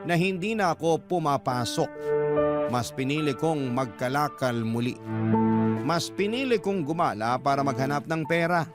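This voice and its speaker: Man acting as filipino guy looking back on a rough childhood, radio drama narration, earnest n measured, music really loud behind. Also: fairly high pitch, lively intonation, close to an everyday voice. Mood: reflective. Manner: measured, reflective storytelling. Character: earnest male letter writer recalling his hard childhood. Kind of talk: scripted first-person radio drama narration. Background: loud instrumental music.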